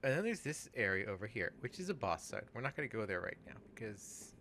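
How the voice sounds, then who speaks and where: Bright-voiced man musing over a game. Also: on the low side, very animated, gravelly, slight background noise. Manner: halting, musing, thinks aloud. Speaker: let's play co-host playing the game. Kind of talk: casual game commentary chat.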